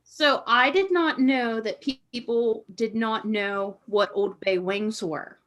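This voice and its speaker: A woman's voice: on the high side, takes it slowly, a bit bright. A woman with a Pennsylvania Dutch accent, co-hosting a casual chat on a video call in an easygoing way. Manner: easygoing, repeats herself. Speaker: co-host.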